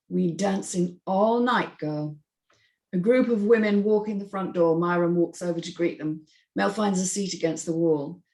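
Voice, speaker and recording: A woman's voice: mid-range voice, darkish tone, reverberant, poor audio. A woman narrator reading screenplay stage directions in a scripted style.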